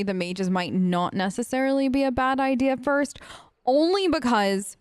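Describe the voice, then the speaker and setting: A woman with a clear, smooth voice plays through a game as its host. She improvises her role casually and conversationally, close on the mic with hardly any room sound. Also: mid-range voice, dry recording. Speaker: gaming host. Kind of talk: casual role-play let's play commentary.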